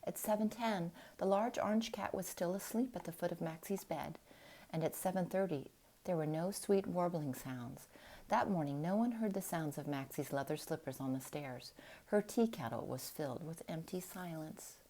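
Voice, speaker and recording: A woman reading a storybook aloud in an expressive style, with deliberate, dramatic phrasing. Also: on the low side. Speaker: storybook reader.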